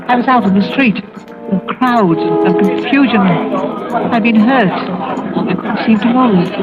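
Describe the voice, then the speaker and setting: An older actress playing a tender, sorrowful London grandmother in a 1940s radio drama. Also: fairly high pitch. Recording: muffled old radio recording.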